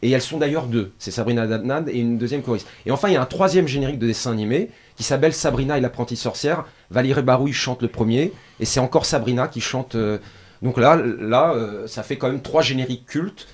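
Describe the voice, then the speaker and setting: Fast-talking male show contributor. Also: medium pitch. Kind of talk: conversational anecdote telling.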